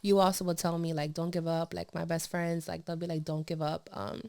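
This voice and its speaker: A young woman with a soft voice, a lash technician chatting casually as a podcast guest, laid-back and laughing often. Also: fairly low pitch, little pitch movement, fairly quick, vocal fry, dry recording, clean studio sound.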